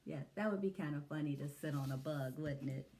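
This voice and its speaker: A woman reading a picture book aloud to young children in an expressive, deliberate manner, with little asides to her listeners. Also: sits low, a little hiss or hum. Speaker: children's story reader, Montessori educator.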